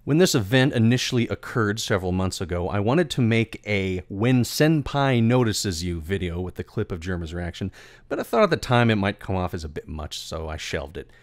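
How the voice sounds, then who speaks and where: Lowish voiced guy telling a scripted story, kinda theatrical and wordy, super dry studio sound. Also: very animated, normal speed, no echo. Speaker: video creator and voice actor.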